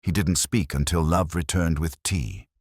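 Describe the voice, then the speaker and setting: A man's voice: a bass voice, unhurried, no room sound, clean studio sound. A man reading scripted narration for a mystery story.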